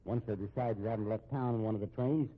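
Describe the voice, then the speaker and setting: An actor playing an anxious, respectable American husband in a 1950s radio drama, speaking in a measured, pointed way. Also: male voice, fairly low pitch, fairly even intonation, husky. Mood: bitter, pointed. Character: respectable, anxious, shrewd husband held hostage at home. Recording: muffled, tinny old radio recording.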